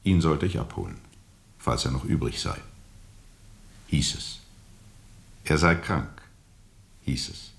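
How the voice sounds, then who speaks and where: An actor playing a world-weary steamboat captain who tells of his journey gravely and reflectively, with heavy pauses, in a radio drama. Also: extremely low pitch, very animated, full character voice. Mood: terse, reflective. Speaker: narrator and lead character.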